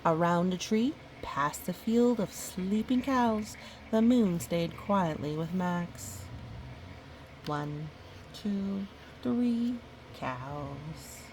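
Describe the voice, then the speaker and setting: A woman reading a children's picture book aloud, gently, breaking off for musing, chatty asides to a young listener. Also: fairly low pitch, lively intonation, very slow, slight background noise, rough recording. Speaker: storybook reader.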